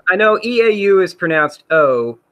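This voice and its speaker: A man's voice: high-pitched, big pitch swings, normal speed, a bit bright, dull audio. A man hosting a casual livestream, rambling with many fillers while he reads out chat comments. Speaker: host.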